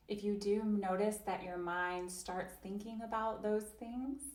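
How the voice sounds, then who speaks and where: A woman voicing an interpreted guided chair yoga session, speaking slowly and gently, with long pauses and soft invitations. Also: mid-range voice, roomy sound.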